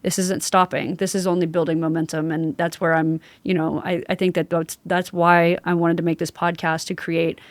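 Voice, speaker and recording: A woman's voice: on the low side, level tone, normal speed, hardly any pauses, no echo. A woman from the US South hosting a podcast, speaking in an earnest, affirming, conversational way. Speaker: podcast host. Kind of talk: conversational interviewing.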